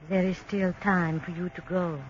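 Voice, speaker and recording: A woman's voice: fairly low pitch, level tone, normal speed, close to an everyday voice. An actress playing a masked young French woman in a 1940s radio drama. She speaks with a French accent in sparse, grave and cryptic words. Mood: ominous. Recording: lo-fi 1940s radio broadcast with faint hiss.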